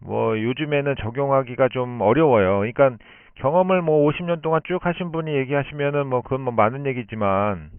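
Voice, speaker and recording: Guy on ham radio explaining stuff politely, smooth voice, dry, squashed narrow radio sound. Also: mid-range voice, a little fast, no room sound. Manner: explanatory, polite formal speech. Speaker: amateur radio operator. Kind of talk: explanatory radio contact talk. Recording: muffled narrowband shortwave radio transmission. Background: shortwave radio link.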